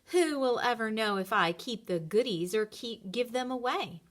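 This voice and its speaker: A woman's voice: a little high, animated, moderate pace, close to an everyday voice. A woman voicing a small, greedy, grumpy male Easter bunny in a storybook, with a grumbling, self-absorbed manner. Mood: scheming.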